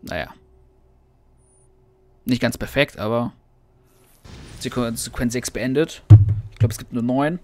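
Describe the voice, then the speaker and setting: A man's voice: mid-range voice, measured pace, pauses often. Casual man commenting on gameplay. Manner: casual, thinking aloud. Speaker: let's play host.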